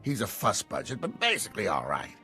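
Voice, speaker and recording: Gravelly, wry security chief. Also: male voice, medium pitch, huge pitch swings, brisk, pushed and bright, faint music behind, exaggerated voice. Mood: wry, matter-of-fact. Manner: folksy, terse, briefing plainly. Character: gruff, wry male security chief, world-weary. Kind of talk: video game voice acting.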